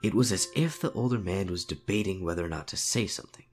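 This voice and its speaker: A man's voice: deep voice, fast, soft background music. A man reading a story as a narrator, in a dramatic scripted storytelling style.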